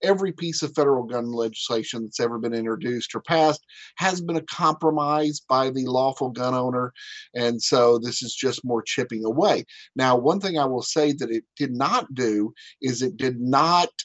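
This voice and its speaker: A man, an attorney guest, explaining legislation on a radio talk show in a measured way with frequent fillers. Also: medium pitch, a bit bright, dry recording. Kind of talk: legal commentary on radio.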